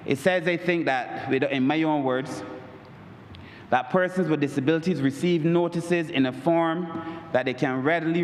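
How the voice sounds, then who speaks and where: A man with a Barbadian accent giving an emphatic parliamentary speech, repeatedly addressing the Speaker. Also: slight background noise. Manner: oratorical, repeats "Mister Speaker, sir" as a refrain; emphatic, rises in pitch on key points. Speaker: member of parliament. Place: large parliament chamber. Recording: echoey chamber with long reverb.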